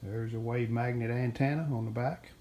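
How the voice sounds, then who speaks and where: A man giving casual, plainspoken hands-on narration as the host of a repair video, unscripted and pausing mid-sentence. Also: medium pitch, fairly even intonation, dark tone. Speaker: host of a radio restoration video channel. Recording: clear background noise, room ambience.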